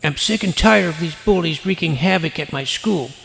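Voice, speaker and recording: A man playing a deadpan self-important nerd hero. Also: a little high, moderate pace, vocal fry, breathy edge, no echo, exaggerated voice. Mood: earnest. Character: nerdy middle-school boy narrator posing as a superhero. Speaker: narrator. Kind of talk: scripted audio drama narration.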